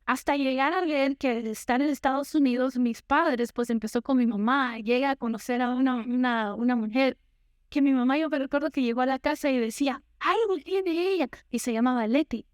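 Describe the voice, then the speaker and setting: A woman's voice: sits high, brisk, no echo, clean studio sound. A young woman from Guatemala, preaching a sermon and telling her own story, slipping in English words. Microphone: handheld or headset mic, unfamiliar to her.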